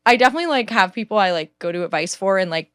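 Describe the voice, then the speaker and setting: A woman from California with a low alto voice, chatting casually as a guest and laughing often. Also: lively intonation, racing, a bit bright, smooth, no room sound, clean studio sound. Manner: chatty, many "like"s and frequent laughs. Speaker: singer-songwriter guest. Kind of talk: casual interview chat.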